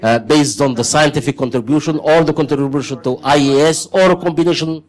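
A man giving his view in a group discussion, measured, with hesitant starts. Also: on the high side. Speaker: meeting participant.

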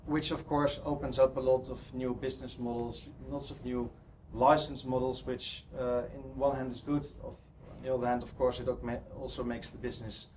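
A man's voice: medium pitch, little pitch movement, moderate pace, few pauses, darkish tone, a little hiss or hum, poor audio. A man from the Netherlands with a Dutch accent, giving a hesitant conference presentation full of ums and restarts. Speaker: conference speaker. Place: conference hall.

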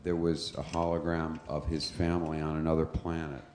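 A man with a very deep voice politely offers a correction from the floor, hesitant at first. His voice rings out in a roomy, echoey hall. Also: level tone, fairly quick, darkish tone. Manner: hesitant, polite, fumbles with the microphone. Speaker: audience member. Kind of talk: question-time correction.

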